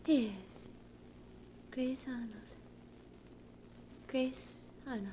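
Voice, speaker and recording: A fragile, entranced wife in a vintage 1940s radio melodrama. The voice is high and muted, drifting slowly and haltingly over faint noise. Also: female voice, steady tone, warm tone, poor audio. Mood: dazed. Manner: halting, dreamy, repetitive. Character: dazed wife in breakdown, fragile and trance-like. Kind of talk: 1940s radio drama acting.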